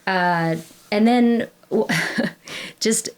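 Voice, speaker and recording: A woman's voice: mid-range voice, extremely slow, darkish tone, smooth. A woman, a classical singer, chatting as a podcast guest in a rambling, self-correcting way with many fillers. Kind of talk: casual conversational interview.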